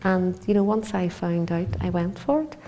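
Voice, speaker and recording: A woman's voice: lowish voice, animated, normal speed, dark tone, a little hiss or hum. A woman with a Belfast accent, speaking earnestly in a documentary interview about her community. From East Belfast, Northern Ireland. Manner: earnest, heartfelt. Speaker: community language organiser.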